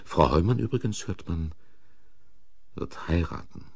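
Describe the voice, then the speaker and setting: A brooding, defensive accused man in a German crime radio play. His very deep voice is gravelly and recorded dry and close. He sounds bitter and sardonic. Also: animated, measured pace, no echo, heavily acted. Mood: bitter, resigned. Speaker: actor.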